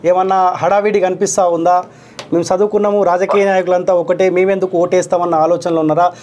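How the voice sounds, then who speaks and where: Fast, high-voiced Telangana man interviewing. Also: flat delivery, darkish tone. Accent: Telangana Telugu. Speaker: journalist hosting a live phone-in. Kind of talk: live phone interview with callers.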